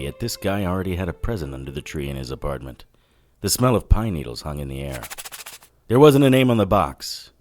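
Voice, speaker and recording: An actor playing a wry, hardboiled 1940s private detective, narrating in dry, clipped noir style. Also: male voice, low-pitched. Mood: dry narration. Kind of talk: noir first-person scripted narration.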